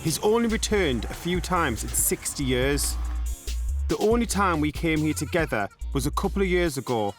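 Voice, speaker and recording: A man's voice: a little high, very animated, faint music behind. A Geordie man in his thirties narrating a reality series and chatting candidly with his father. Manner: candid, emotional, quick to tease. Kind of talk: reflective narration and casual chat.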